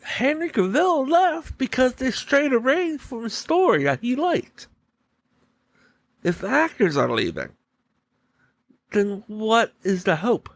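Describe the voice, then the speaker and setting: A man hosting a solo podcast, giving opinion commentary in deliberate, halting short phrases. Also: extremely high pitch, sing-song, gravelly, dry recording. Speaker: podcast host.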